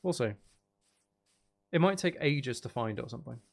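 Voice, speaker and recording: A man's voice: mid-range voice, animated, moderate pace, vocal fry. A man doing casual live gameplay commentary, rambling and thinking aloud as he chats with viewers. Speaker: video game livestreamer.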